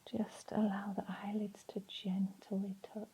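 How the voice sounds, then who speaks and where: A woman leading a guided relaxation in a soft, breathy, soothing voice, leaving long pauses between phrases. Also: medium pitch, flat delivery, rough recording. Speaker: meditation and relaxation instructor.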